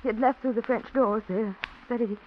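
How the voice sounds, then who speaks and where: A woman's voice: a little high, fairly even intonation, fairly quick, background noise, natural voice. A woman playing a nervous, stammering young wife. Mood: anxious. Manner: breathless, hesitant, stammering. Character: anxious young wife Julia. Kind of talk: 1940s radio acting. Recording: muffled old radio broadcast.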